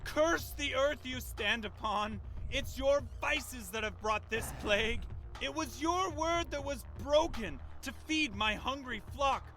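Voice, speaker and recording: High, slow, deranged cult preacher. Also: male voice, expressive, pushed and bright, full character voice, rough recording. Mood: venomous, ranting. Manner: theatrical, archaic sermonizing with drawn-out curses. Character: deranged, pompous vampire cult preacher, venomous and fanatical. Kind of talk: video game voice acting.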